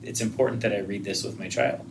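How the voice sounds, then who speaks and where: A man's voice: lowish voice, steady tone, fast talker. An American man in his thirties hosting a podcast in a casual, conversational interview style. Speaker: podcast host. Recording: clearly noisy background.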